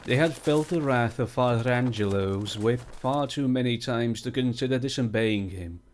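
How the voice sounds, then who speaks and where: A man reading a fantasy story aloud in a measured, even storytelling voice. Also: medium pitch, a little hiss or hum. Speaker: story reader.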